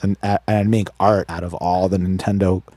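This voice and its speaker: A young man with a very low, mellow voice talks through his early memories in a slow, easygoing way. He is from Israel, and the sound is dry and close. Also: dry recording. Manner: relaxed, unhurried storytelling with fillers. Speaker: guest musician. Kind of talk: casual interview.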